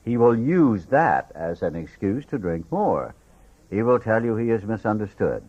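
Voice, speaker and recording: Low-voiced man reading aloud, very animated pitch. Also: measured pace. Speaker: audiobook reader. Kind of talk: scripted read-aloud narration. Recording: dull, lo-fi audio.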